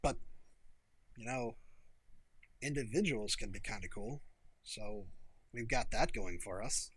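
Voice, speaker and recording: A man's voice: lowish voice, slow, fairly bright tone, husky, voice pushed down, heavily acted. A man voicing a bitter, agitated young American barkeep who talks in emphatic, sweary, sarcastic rants. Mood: wry.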